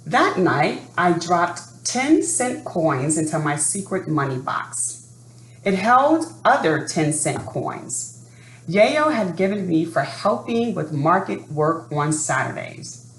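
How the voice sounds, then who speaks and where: Woman reading aloud expressively in a roomy space. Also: lowish voice. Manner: expressive, asks young listeners questions and pauses. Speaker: presenter reading a picture book to children. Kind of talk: read-aloud storytelling for children. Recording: roomy sound with faint background noise.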